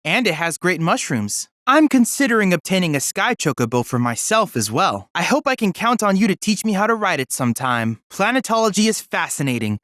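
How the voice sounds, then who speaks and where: Boyish game android helper, super polite n formal, very expressive pitch, dry studio sound. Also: male voice, fairly quick, hardly any pauses, no echo. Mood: thoughtful, curious. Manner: formal, precise, bookish, courteous diction. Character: polite, earnest boyish android research assistant. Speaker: game character, research guide. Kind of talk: scripted video game voice acting.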